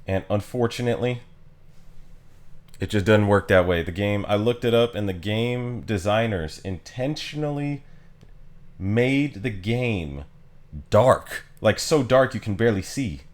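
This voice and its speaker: Low-voiced male streamer, very animated pitch. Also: unhurried. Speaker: game streamer. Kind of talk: casual livestream chat.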